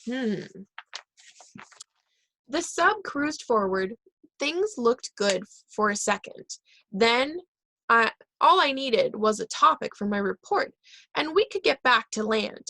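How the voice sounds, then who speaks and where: A woman reading a children's book aloud, pausing and correcting herself now and then. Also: on the high side, pushed and bright, dry recording. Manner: reads aloud with pauses and self-corrections. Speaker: reader.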